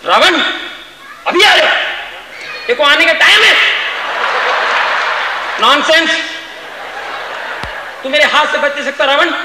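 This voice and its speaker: A man voicing a pompous stage actor who plays the hero Ram in a village play, shouting his lines melodramatically. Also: very high-pitched, lively intonation, slow, pauses often, far from the mic, pitched above the performer's own voice, heavily acted. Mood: bellowing theatrical. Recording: echoey live stage recording from afar, noisy.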